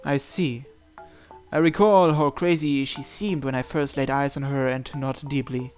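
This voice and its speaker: A man's voice: medium pitch, steady tone, normal speed, darkish tone, clear, resonant tone. A man reading a visual novel aloud, narrating and acting out the protagonist's lines. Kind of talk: dramatized reading of narration and dialogue. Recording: music playing behind the voice.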